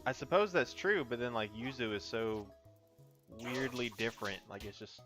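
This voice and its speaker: A man's voice: medium pitch, lively intonation, normal speed, over music. A man playing a game on stream, talking loosely, swearing and muttering as he plays. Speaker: gamer on a group stream. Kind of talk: casual chat.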